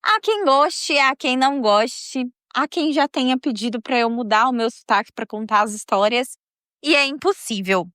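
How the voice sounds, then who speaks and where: A woman's voice: sits high, animated, moderate pace, fairly bright tone, no echo, studio quality. A woman from Minas Gerais with a Mineiro accent, hosting a podcast and telling a real-life story in a casual, chatty way, laughing often and talking straight to her listeners. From Minas Gerais, Brazil.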